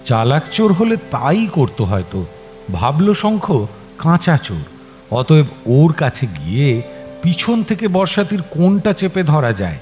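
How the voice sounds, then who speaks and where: A man narrating a scripted story in a dramatic, suspenseful and expressive manner. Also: fairly high pitch, unhurried. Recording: music behind the voice. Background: clear background music.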